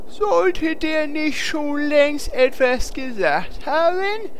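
A woman's voice: high-pitched, expressive, drawn-out words, slight background noise, heavily acted. A woman playing a timid, hesitant adventurer in a fantasy parody audio drama. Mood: puzzled, hesitant.

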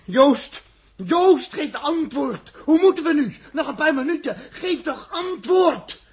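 A man's voice: very high-pitched, lively intonation, slow pace, heavily acted. An actor playing an agitated, hot-headed male spaceship technician in a 1960s radio drama, stammering and emphatic. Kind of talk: 1960s Dutch radio science-fiction acting. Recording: slightly noisy vintage 1961 radio recording.

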